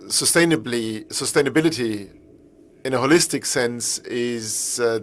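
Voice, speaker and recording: A man's voice: mid-range voice, fairly bright tone. A middle-aged man with a German accent, a native German speaker, giving a conference keynote in English, earnest and often repeating and rephrasing himself. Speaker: company executive speaking at a press event.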